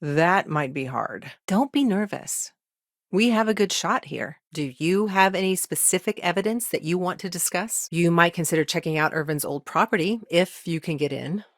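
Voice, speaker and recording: A businesslike female lawyer from a video game, played by an actress, with a slightly low voice. She talks in a measured, matter-of-fact way, guarded and dryly wry. The recording is dry and free of room sound. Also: no echo, lightly acted. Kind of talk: scripted game dialogue, conversational.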